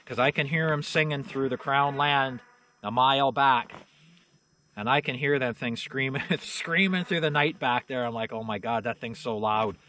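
A man's voice: mid-range voice, normal speed, pushed and bright, a little hiss or hum, low-quality recording. A middle-aged man, rambling casually as he shows off snowmobiles outdoors in the snow, with lots of "you know" and "uh". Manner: folksy, plain-spoken, lots of fillers like "you know" and "uh". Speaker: channel host showing snowmobiles. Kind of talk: casual rambling walkaround talk. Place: outdoors in a snowy yard.

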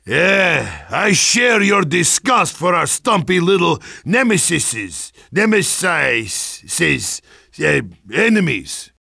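An actor playing a hard-drinking, boisterous Russian soldier in a video game, gruff and sardonic, with a Russian accent and mocking broken English. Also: male voice, on the high side, very animated, slow, pushed and bright, no echo, clean studio sound, full character voice. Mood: teasing, proud. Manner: blustering, mocking, broken English with dropped articles. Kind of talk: video game character barks.

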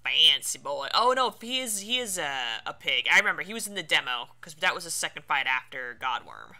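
A game streamer giving casual, playful let's play commentary with lively reactions. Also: medium pitch, a little fast. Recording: game music behind.

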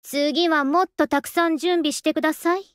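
High-pitched, languid young anime soldier woman, acted. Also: fairly even intonation, moderate pace, dry recording, studio quality, natural voice. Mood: polite, lazy. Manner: polite, languid, understated delivery. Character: languid, lazy, deadpan young masked soldier woman, softly affectionate. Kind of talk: Japanese anime game voice acting.

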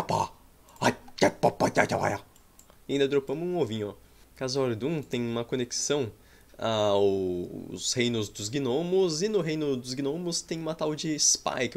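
A man giving playful, casual commentary over a game, adding his own combat sound effects, recorded at a home desk mic. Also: mid-range voice. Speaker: gaming YouTuber. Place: small room at home.

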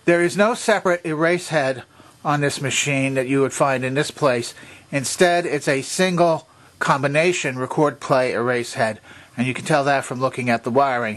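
Male presenter, mid-pitched, methodical, walking viewers step by step through a hands-on repair. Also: fairly bright tone. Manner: methodical, explanatory, pointing things out step by step. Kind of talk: hands-on explanatory demonstration.

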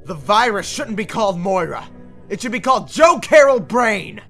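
Man acting as stern doctor, higher-pitched male voice. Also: sing-song, gravelly, pushed and bright, faint music behind, full character voice. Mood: outraged. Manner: intense, accusatory, deliberate delivery. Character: stern, brooding male doctor, indignant and morally driven. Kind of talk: dramatic anime dub acting.

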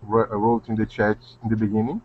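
A man's voice: fairly low pitch, flat delivery, a little fast, no echo. Chatty Brazilian man on a muffled line. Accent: Brazilian Portuguese. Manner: chatty, helpful, non-native grammar. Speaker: student. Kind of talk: casual chat in a video call.

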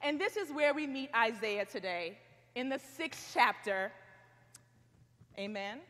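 A woman's voice: on the high side, lively intonation, a little slow, fairly bright tone. A young woman preaching a sermon, telling stories animatedly and acting out what she says. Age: young adult. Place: large stone chapel. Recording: long hall reverb.

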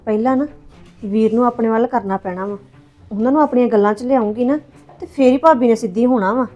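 A woman's voice: fairly high pitch, fast, dark tone, dull audio, soft background music, lightly acted. Sandeep, a woman playing a wailing scheming sister. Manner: wailing, pleading. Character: scheming young sister faking despair. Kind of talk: Punjabi family drama acting.

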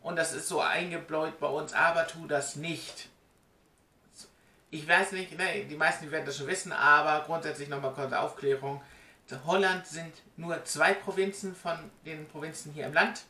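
A man's voice: fairly high pitch, steady tone, moderate pace, sharp tone. A man, chattily explaining things to camera with emphatic, direct address, recorded on a webcam in a room at home. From Germany. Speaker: vlogger. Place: small indoor room at home. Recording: roomy, distant webcam sound.

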